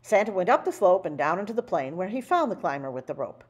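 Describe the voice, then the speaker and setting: A woman reading a children's picture book aloud in an animated storytelling style. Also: fairly low pitch, quick pace, breathy edge, dull audio. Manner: animated, acting out dialogue. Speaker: storybook reader.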